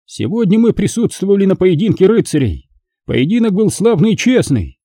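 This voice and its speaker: A man's voice: high voice, sing-song, moderate pace, mellow, dry recording, clean studio sound, pitched above the performer's own voice. A man voicing a proud grand master of a knightly order, with emphatic, ceremonious oratory and coloring. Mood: solemn, thundering.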